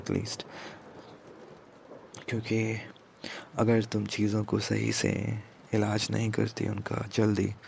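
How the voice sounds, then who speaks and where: A man playing a commanding, protective mafia boss in an ASMR romance roleplay, speaking in a hushed, deliberate way with English words mixed in. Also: a little hiss or hum. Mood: concerned, gentle, then soft, reflective. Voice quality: low, gravelly, breathy at times. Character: commanding yet protective male mafia boss, tender with his captive.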